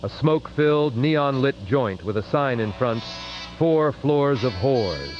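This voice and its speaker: A man narrates in the theatrical manner of an old radio host. His pitch is average. The sound is muffled and lo-fi, with light music underneath. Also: expressive, unhurried.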